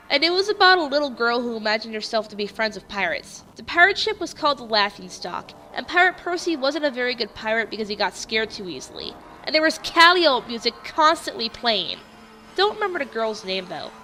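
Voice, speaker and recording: Confident female reminiscer acted by a woman, bright and lively, dramatic forum-post reading with music underneath. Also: fairly high pitch, poor audio. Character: forum poster confidently recalling an old puppet show.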